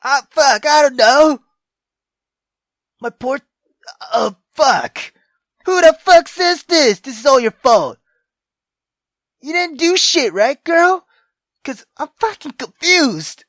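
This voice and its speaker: A young man playing a visual novel on camera, reacting loudly and excitably with lots of swearing. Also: extremely high pitch, slow, pauses often, no room sound, studio quality. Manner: loud, excitable, heavy swearing. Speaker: gaming YouTuber. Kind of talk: let's-play commentary.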